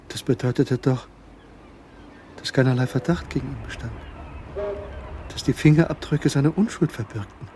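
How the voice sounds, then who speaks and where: A man narrating a radio drama in a measured, suspenseful, scripted delivery. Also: mid-range voice, darkish tone, slight background noise.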